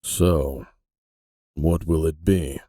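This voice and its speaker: Very deep, gravelly, weary aging detective. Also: male voice, steady tone, dark tone, no room sound, full character voice. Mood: bitter resignation. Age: older. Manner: dry, weary, halting. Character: aging, weary detective keeper, sardonic and resigned. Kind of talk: fantasy audio drama acting.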